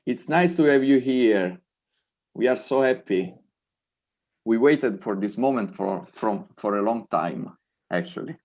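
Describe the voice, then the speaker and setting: A man's voice: mid-range voice, lively intonation, measured pace, darkish tone. A man in his twenties from Italy, hosting an online event and switching easily between English and Italian. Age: 20s. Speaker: event host.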